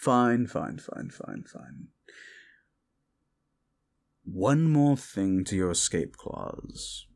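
A smug male demon, acted by a man, mocks his listener in an intimate roleplay drama. His voice sits low and creaks with fry, while his pitch swings widely as he condescends. He is sardonic and exasperated throughout. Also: very animated, very slow, long pauses, darkish tone, heavily acted. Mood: exasperated, mocking. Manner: condescending, mocking, drawling exasperation.

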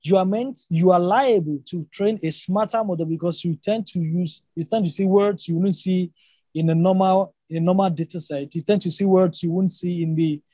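A man's voice: sits high, moderate pace, warm tone, dry recording. A man whose first language is Yoruba, explaining emphatically in a spontaneous academic discussion, often repeating and rephrasing himself and posing rhetorical questions.

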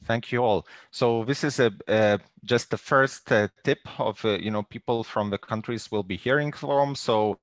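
A man in his thirties moderating an online panel over a headset, pausing often with fillers. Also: fairly low pitch, dry recording. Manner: halting, many "uh" fillers. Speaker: webinar moderator. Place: video call.

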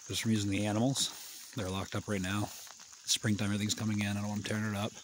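A man's voice: on the low side, quick pace, slight background noise. A man in his fifties gives a casual, rambling walking tour of his hillside garden, talking into a phone held at arm's length outdoors. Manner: relaxed, rambling, plain-spoken. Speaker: permaculture farmer. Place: outdoors on a wooded terraced hillside.

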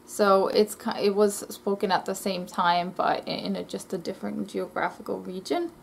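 A young woman from Israel vlogs from her small bedroom, reading notes with plenty of ums and quick self-corrections. Her mid-range voice carries a gravelly edge, and the webcam mic picks up faint room noise. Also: medium pitch. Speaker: language vlogger. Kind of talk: casual educational vlog. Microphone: laptop webcam mic.

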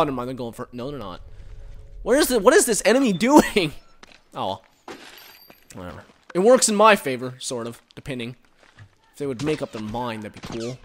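A man giving casual, rambling commentary while playing a game, recorded on a headset and reacting loudly to the action. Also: a little high. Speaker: gaming commentator.

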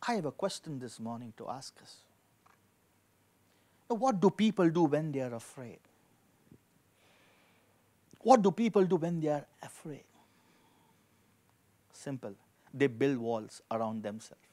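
A man's voice: medium pitch, very animated, measured pace, long pauses, mellow. A middle-aged man preaching a sermon as a pastor, emphatic, repeating key phrases and asking rhetorical questions. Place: indoor studio with dark backdrop, at a lectern. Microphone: handheld microphone.

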